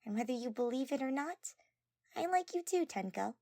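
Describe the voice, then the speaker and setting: A woman voicing a shy, soft-spoken and earnest young girl, gentle and hesitant, in an amateur audio drama. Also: sits high, lively intonation, normal speed, vocal fry, no room sound, studio quality, pitched above the performer's own voice, heavily acted. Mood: earnest, tender.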